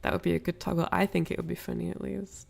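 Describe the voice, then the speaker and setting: Gravelly, sniffly woman chatting on stream. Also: on the low side, little pitch movement, fast. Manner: rambling, apologetic. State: ill with a cold, sniffly, coughing. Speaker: livestream host. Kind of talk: casual livestream chat with viewers.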